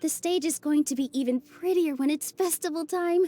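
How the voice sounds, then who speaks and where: A woman voicing a graceful young dancer in a game, telling an old legend gently. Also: sits high, moderate pace, soft background music, natural voice. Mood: hopeful. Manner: gentle storytelling. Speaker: festival dancer. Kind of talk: game cutscene voice acting.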